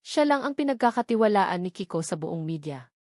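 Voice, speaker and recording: Female storyteller for dramatic romance narration, mid-range pitch, scripted read, dry studio-like sound. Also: big pitch swings, fairly quick, darkish tone, dry recording.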